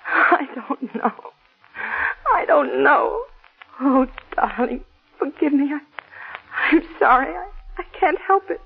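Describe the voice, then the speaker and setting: A possessive young wife in an old radio drama. Her voice swings widely in pitch, sweet one moment and sharp the next. The sound is tinny and narrow, like a 1940s broadcast. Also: expressive, slow, vocal fry, long pauses, full character voice. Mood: remorseful.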